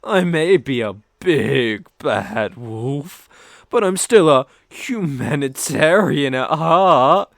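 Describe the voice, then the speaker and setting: A man's voice: fairly high pitch, animated, slow, creaky, dry recording, studio quality, full character voice. A man with a British accent playing a sadistic, predatory werewolf in an ASMR roleplay, theatrical and menacing, savoring his words. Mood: gloating, seductive. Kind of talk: ASMR roleplay audio drama.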